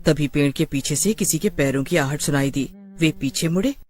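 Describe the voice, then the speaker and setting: A man's voice: fairly high pitch, moderate pace, a little mellow. A man narrating a scripted horror story in a dramatic storytelling style.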